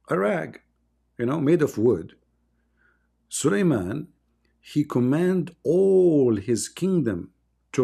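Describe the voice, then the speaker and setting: Man, dark rough voice, sarcastic debate host. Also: mid-range voice. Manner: mocking, sarcastic, reads verses aloud while searching. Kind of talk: live online debate.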